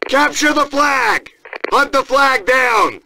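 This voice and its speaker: An actor playing a fierce American Marine sergeant, barking commanding announcements in a military video game. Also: male voice, very high voice, slow pace, creaky, sharp tone, slight background noise, heavily acted, poor audio. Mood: urgent, fired up. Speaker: multiplayer announcer.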